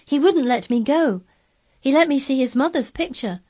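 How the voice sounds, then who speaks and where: A woman's voice: sits high, lively intonation, normal speed, darkish tone. A woman voicing a stubborn, self-possessed young girl who speaks firmly and plainly in a storybook reading. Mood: matter-of-fact. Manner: firm, plain-spoken, earnest.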